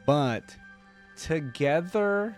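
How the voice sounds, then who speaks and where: A man's voice: fairly high pitch, lively intonation, extremely slow, soft background music. A man giving casual, enthusiastic, slangy reaction commentary as the host of a video. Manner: informal, slangy, enthusiastic fan talk.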